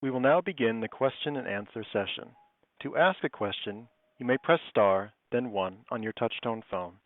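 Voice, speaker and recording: A man's voice: medium pitch, normal speed, dry recording. A man working as a conference call operator, giving scripted instructions in a formal, procedural manner. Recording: dry, phone-like call line.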